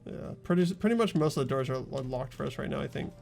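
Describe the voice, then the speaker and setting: A man's voice: medium pitch, quick pace, gravelly, faint music behind. A man hosting a game playthrough with friends, chatting casually and thinking aloud. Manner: relaxed, easygoing, thinking aloud. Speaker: host playing a game with friends. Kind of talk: casual gameplay chat.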